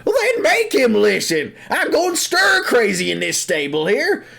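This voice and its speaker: A man's voice: very high-pitched, huge pitch swings, measured pace, creaky, fairly bright tone, voice pushed up, exaggerated voice. A man voicing an indignant, anxious stable horse in an audio drama, with a strained, dramatic way of complaining. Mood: outraged.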